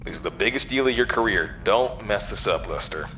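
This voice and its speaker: A man's voice: fairly low pitch, lively intonation, fairly quick, sharp tone, slight background noise. A man playing an irritable, snobbish career man muttering to himself in a horror audio drama. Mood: disdainful, tense.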